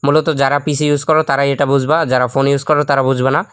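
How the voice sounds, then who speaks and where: A man's voice: medium pitch, level tone, racing, a bit bright, clean tone, no room sound. A man narrating a step-by-step tutorial, addressing his viewers directly as host. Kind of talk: instructional screen-recording voice-over.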